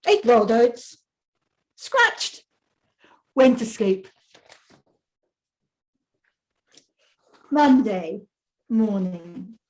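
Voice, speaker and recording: An older woman reading aloud as a lazy, demanding wombat, in terse, deliberate diary entries. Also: fairly high pitch, heavily acted, low-quality recording. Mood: sleepy, content. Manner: terse, deliberate, deadpan self-importance. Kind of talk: picture book read-aloud.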